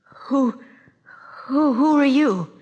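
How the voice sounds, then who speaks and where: A woman plays a young cabin boy with a very high, earnest voice. The delivery is a little breathless and hesitant, in audio drama style, inside a long reverb. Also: animated, very slow, a little mellow, muffled recording, exaggerated voice. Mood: timid, wondering. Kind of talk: audio drama acting on a record.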